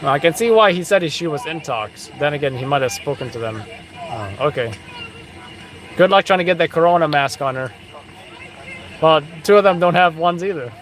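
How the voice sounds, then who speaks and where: A man's voice: fairly high pitch, expressive, moderate pace, pauses often, fairly bright tone, poor audio. A man hosting a casual live commentary, chatting and explaining police radio codes while watching footage. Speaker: host.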